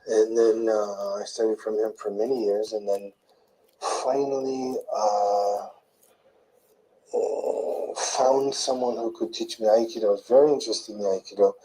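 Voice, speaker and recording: An older man slowly recalling his past in a soft, murmuring, rambling way, often trailing off. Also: lowish voice, fairly even intonation, rough recording. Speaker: interviewee in played clip. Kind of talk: slow rambling recollection.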